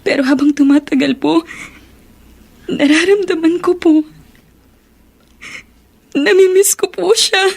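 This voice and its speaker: An actress with a Filipino accent playing a polite, forgiving young girl in a radio drama, gently deferential. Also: extremely high pitch, takes it slowly, creaky, long pauses, exaggerated voice. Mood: wistful.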